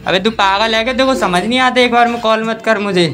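A man's voice: sits high, fast talker, noisy background. A young man doing a prank phone call in a whiny, argumentative, childlike way inside a lift. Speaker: prankster making fake phone calls. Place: inside a crowded metal passenger lift.

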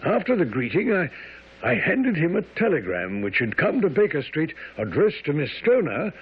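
An actor playing a genial, loyal Victorian gentleman, companion and narrator in a radio drama. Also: fairly high pitch, wildly swooping pitch, moderate pace, slightly rough, muffled recording, full character voice. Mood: measured storytelling. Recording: band-limited old radio broadcast.

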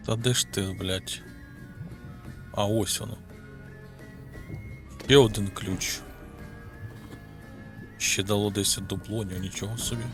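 A man with a Ukrainian accent giving casual gameplay commentary, offhand and muttering to himself, swearing casually. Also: lowish voice. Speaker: gaming video host. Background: game music.

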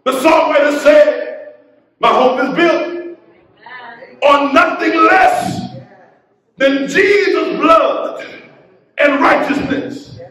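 A middle-aged man preaching a sermon from a church pulpit, building into shouted, half-sung exhortation. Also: very high-pitched, fairly even intonation, extremely slow, long pauses. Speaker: pastor. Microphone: pulpit mic, filmed by a far camera. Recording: very echoey church from a distance.

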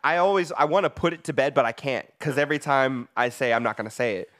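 A man's voice: medium pitch, fast talker, a bit bright. An American man, a guest recording artist, chatting in an easygoing way with loose, run-on phrases. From United States. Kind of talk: casual interview chat.

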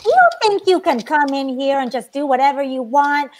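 A high-pitched, strict sitcom mother. She is proud and blunt, stating things emphatically and matter-of-factly, as in a table read over a video call. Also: expressive, moderate pace, a little mellow, slight background noise. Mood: bossy, suspicious. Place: indoors at home on a video call. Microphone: video call, earphones.

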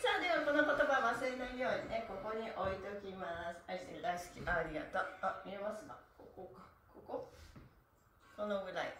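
A woman's voice: mid-range voice, fairly quick, fairly bright tone, a little hiss or hum. A middle-aged woman teaching a live-streamed stretch lesson, cheerful, playful and chatty, laughing often. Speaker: stretch instructor. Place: small room at home, on a floor mat. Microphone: camera across the room. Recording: roomy echo, far from the mic, faint music.

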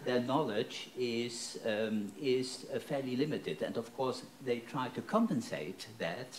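A man's voice: mid-range voice, measured pace, a little hiss or hum. An older man on a panel, speaking hesitantly with many filler sounds into a handheld mic in a small lecture hall. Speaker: panel guest.

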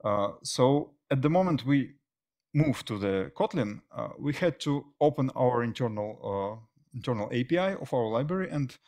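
A middle-aged man presenting technical material as a conference speaker, often pausing mid-phrase. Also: medium pitch, expressive, darkish tone. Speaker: conference speaker, software engineer. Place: indoor stage at a tech meetup.

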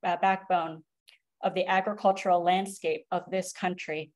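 A woman reading a prepared project statement in a measured, formal way on a video call. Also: lowish voice, little pitch movement, darkish tone. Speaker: artist presenter.

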